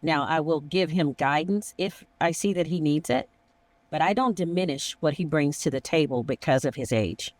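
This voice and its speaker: A woman's voice: sits low, moderate pace, no echo. A middle-aged woman hosting a conversational discussion, speaking emphatically and slipping into imagined dialogue. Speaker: podcast host, emergency dispatch veteran.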